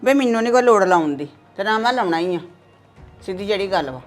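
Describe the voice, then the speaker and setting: A woman's voice: mid-range voice, expressive, quick pace, faint music behind, lightly acted. An actress with a Punjabi accent playing a stubborn mother who scolds her son and refuses to give in. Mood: defiant. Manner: defiant, scolding.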